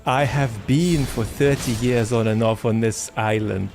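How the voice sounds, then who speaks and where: A man from the Soviet Union, a philosopher and podcast guest, explaining his points in a measured, precise way. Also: medium pitch, a little mellow, faint music behind. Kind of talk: podcast interview.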